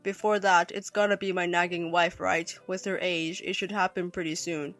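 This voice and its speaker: A woman voicing a callous, defensive older husband with a gruff, dismissive manner in an audio story. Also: lowish voice, level tone, normal speed, fairly bright tone, faint music behind, pitched below the performer's own voice. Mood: callous, smug. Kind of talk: audio story character acting.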